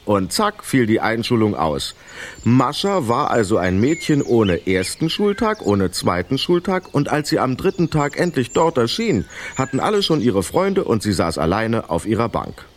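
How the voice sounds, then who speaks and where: A man's voice: medium pitch, sing-song, moderate pace, a little hiss or hum. A man narrating a children's radio play as a scripted storyteller.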